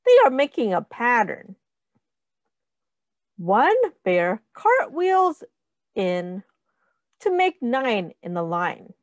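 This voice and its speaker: A woman teacher reading a picture book aloud to young children, deliberately and instructively, asking questions and counting along. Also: on the high side, big pitch swings, no room sound, clean studio sound.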